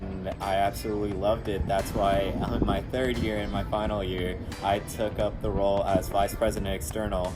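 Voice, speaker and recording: A man's voice: fairly low pitch, low-quality recording. A young man from Houston, a recent graduate now working as an engineer, talking earnestly to camera from an outdoor rooftop. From Houston, Texas. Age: young adult. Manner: earnest, conversational, with small restarts. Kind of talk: talking to camera about his studies and career.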